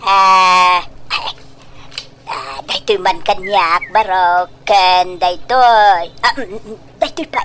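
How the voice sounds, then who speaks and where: A woman playing a scheming, greedy, pushy middle-aged mother in a comedy radio drama, gushing with drawn-out exclamations in an Ilocano accent. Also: fairly low pitch, fairly even intonation, fairly bright tone, slight background noise. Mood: greedy, giggly.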